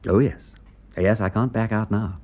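An actor playing an aristocratic English gentleman detective in a radio drama, with an upper-class English accent and a witty, breezy, urbane manner. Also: on the low side, fairly even intonation, moderate pace, dull audio, natural voice. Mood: guarded, grave. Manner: aristocratic, breezy, clipped period phrasing.

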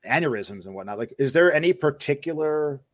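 A man's voice: fairly high pitch, expressive, brisk. A man hosting an interview podcast, asking long, winding, curious questions. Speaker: podcast host. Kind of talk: casual interview.

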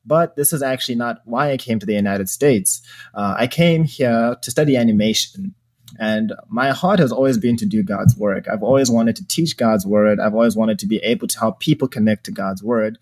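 A man's voice: lowish voice, brisk, darkish tone. A man from Zimbabwe hosting a podcast, sharing his faith in casual, earnest talk. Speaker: podcast host.

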